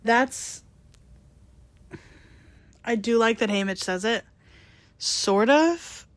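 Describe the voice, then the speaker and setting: A young woman with a slightly phlegmy voice co-hosting a casual book podcast, blunt and quick to swear. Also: a little high, lively intonation. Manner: blunt, swears freely, laughs easily. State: a bit phlegmy. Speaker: podcast co-host. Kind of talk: casual book club chat.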